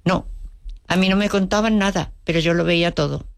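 Older woman, low voice, Andalusian-accented Spanish, Russian native, lively storyteller, dry close sound. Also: a little fast, creaky, dry recording. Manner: vivid storyteller, acts out quotes, wry humor. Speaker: interview guest. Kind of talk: storytelling reminiscence.

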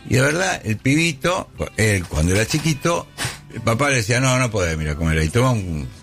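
A man with a Rioplatense accent hosting a casual studio chat, retelling a story in a lively way. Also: medium pitch, brisk, over music. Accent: Rioplatense Spanish. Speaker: radio show host.

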